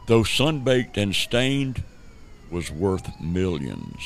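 Low-voiced male radio play narrator. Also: slight background noise. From Savannah, Georgia, United States.

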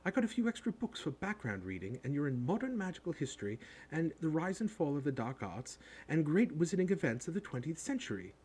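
A man performs a bossy young schoolgirl in a storybook reading. The voice is pitched high and swoops about eagerly. She rattles on like a confident know-it-all. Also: sing-song, a little fast, darkish tone, slightly rough. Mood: eager, self-assured.

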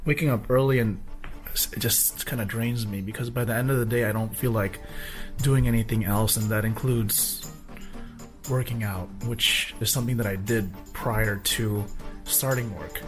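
A man with a hoarse voice talking casually in a vlog, rambling between thoughts. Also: on the low side, steady tone, moderate pace, over music. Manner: rambling, with fillers. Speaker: vlogger.

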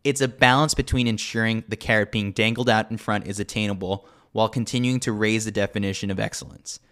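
A man's voice: on the low side, steady tone, fast, fairly bright tone. A man reading a scripted sports newsletter as a podcast host, with a wry, tongue-in-cheek manner.

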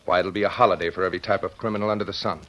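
An actor playing a grave, authoritative American security chief in an old radio drama, firm and formal. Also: male voice, low-pitched, fairly even intonation, racing, a bit bright. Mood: grave, urgent. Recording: dull old radio recording.

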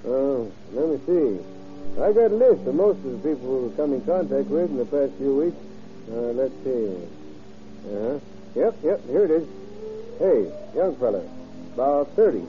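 Actor as animated folksy sheriff, old radio. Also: male voice, medium pitch, normal speed, long pauses, natural voice. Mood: casual, helpful. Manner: folksy, muttering as he searches. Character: amiable, informal small-town sheriff checking his records. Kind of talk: old radio drama acting. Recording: dull vintage radio, music behind.

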